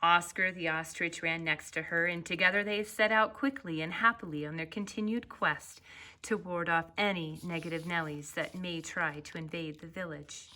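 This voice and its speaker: A woman narrating a children's story as its author, reading expressively and deliberately. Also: on the low side. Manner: expressive, deliberate, drawn-out phrase endings.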